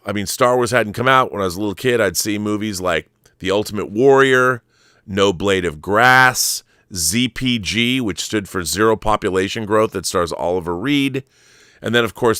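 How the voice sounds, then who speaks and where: A man's voice: fairly low pitch, fairly bright tone, clear, resonant tone, no echo, clean studio sound. A middle-aged man hosting a show with casual film commentary, rambling enthusiastically and often digressing. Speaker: show host.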